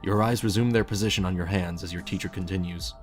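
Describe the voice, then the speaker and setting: A man with a low voice reads a story as its narrator. He delivers scripted second-person prose, and soft music plays behind him. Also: steady tone, fast talker. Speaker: fan fiction narrator.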